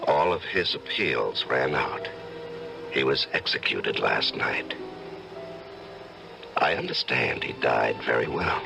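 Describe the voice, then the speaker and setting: A distinguished judge hiding murderous urges, in old-time radio drama style. His very low voice crackles with fry and swings widely in pitch. He is grave and brooding, with music underneath. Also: heavily acted. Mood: dark, restless. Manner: measured, grave, philosophizing.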